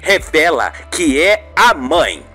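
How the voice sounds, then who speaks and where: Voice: medium pitch, very animated, slow pace, pushed and bright, slightly rough, soft background music. A narrator with a Brazilian accent reading a radio soap in a dramatic scripted style.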